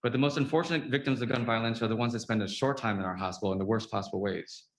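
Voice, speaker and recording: This is a man with a gravelly voice, speaking at a summit about his work as a trauma surgeon. He talks in an earnest, halting way, full of fillers and restarts. The sound carries a clear room echo. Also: medium pitch, a little hiss or hum. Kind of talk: spoken talk at a summit.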